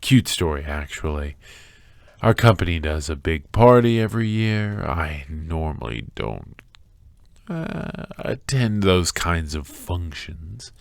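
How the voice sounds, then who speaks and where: Guy acting out a creepy-sweet obsessive male captor, asmr roleplay, low gravelly voice, whispery and doting. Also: sing-song, no room sound, clean studio sound, heavily acted. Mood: smug, patient. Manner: soft, intimate, drawn-out, often dropping to whispers.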